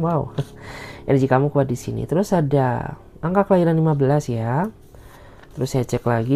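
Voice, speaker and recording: A woman tarot reader with an Indonesian accent, chatting casually and speaking the feelings of the other person in the first person. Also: extremely low pitch. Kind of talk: casual tarot reading monologue. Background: soft guitar music.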